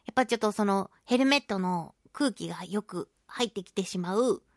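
A woman hosting a solo radio talk show, chatting about daily life in an animated, giggly, exclamatory way. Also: medium pitch, fairly quick, no room sound, studio quality. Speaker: voice actress.

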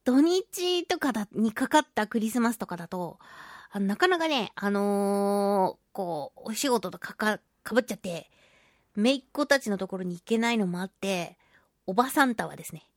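A woman hosting a solo radio talk show, chatting about daily life in an animated, giggly, exclamatory way. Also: mid-range voice, normal speed, no echo, studio quality. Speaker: voice actress.

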